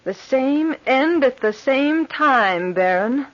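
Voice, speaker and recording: Spooky fate spinner spirit, slow creepy murmur, old radio horror, muffled sound. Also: female voice, high voice, animated, no room sound. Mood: ominous warning. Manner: measured, ominous, breathy murmuring. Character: eerie, serene female supernatural spinner of human fates. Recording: dull old radio recording.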